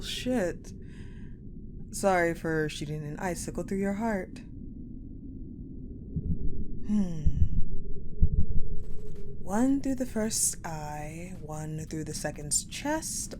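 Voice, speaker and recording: A woman playing a sadistic, ice-powered female general in an audio roleplay, coldly menacing and controlling, deliberate and taunting, often dropping to a whisper. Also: medium pitch, animated, vocal fry, noisy background, exaggerated voice. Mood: cold amusement. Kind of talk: dramatic audio roleplay acting.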